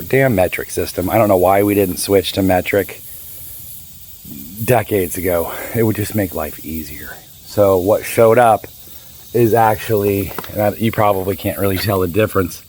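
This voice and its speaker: A man in his fifties with a raspy, hoarse voice, chatting casually and rambling through a boat repair vlog. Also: fairly low pitch, a little mellow. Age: 50s. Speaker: boat owner vlogger.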